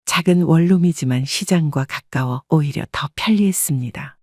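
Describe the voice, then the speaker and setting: Give me a woman calmly telling a life story, a bit husky, close and dry. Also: low-pitched, normal speed, dry recording. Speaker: narrator. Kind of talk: first-person story narration.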